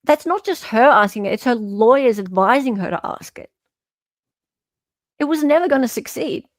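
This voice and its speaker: Australian woman, higher voice, dry close sound, show host, reading aloud and commenting, incredulous, emphatic. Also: expressive, normal speed, no echo. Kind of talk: reading a legal filing aloud with off-the-cuff commentary.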